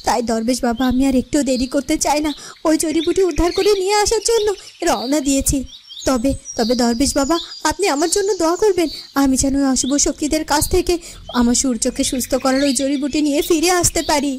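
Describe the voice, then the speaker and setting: Woman acting very high-pitched earnest young heroine. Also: expressive, fast talker, darkish tone, a little hiss or hum. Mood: pleading, resolute. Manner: pleading, emphatic, repeats phrases. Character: earnest, determined young heroine of a fairy tale. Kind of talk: dramatic Bengali cartoon voice acting.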